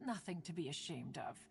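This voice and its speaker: A woman's voice: deep voice, vocal fry, heavily acted. A woman playing a proud, doting ancient guardian spirit in a video game, speaking in a stately, archaic manner. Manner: archaic, stately, calls herself "one". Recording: music behind the voice.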